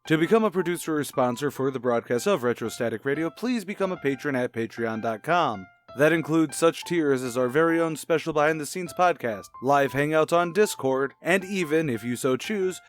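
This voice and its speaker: A man reading a scripted closing announcement in old-time radio style. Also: a little high.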